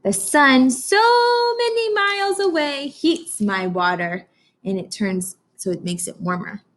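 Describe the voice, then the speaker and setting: A woman's voice: sits high, unhurried, fairly bright tone. American woman teacher reading to children. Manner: instructional, prompting young listeners with questions. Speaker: teacher reading a picture book aloud. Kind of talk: children's read-aloud with simple explanations and questions.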